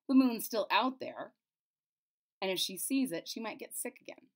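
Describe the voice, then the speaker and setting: A woman's voice: medium pitch, sing-song, normal speed. A middle-aged woman reading a children's story aloud with dramatic, emphatic expression. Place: small room indoors.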